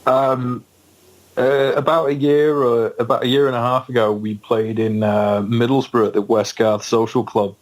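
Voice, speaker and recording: A man's voice: medium pitch, level tone, moderate pace, low-quality recording. A man, a band's guest on a podcast, telling a story hesitantly with many ums and frequent laughs. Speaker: guest musician in a band. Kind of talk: casual podcast interview storytelling.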